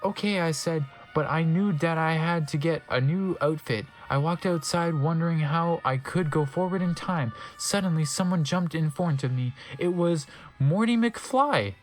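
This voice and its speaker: Theatrical, mocking man narrating, slightly high voice. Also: moderate pace, faint music behind, poor audio. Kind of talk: comedic dramatic reading with wry asides.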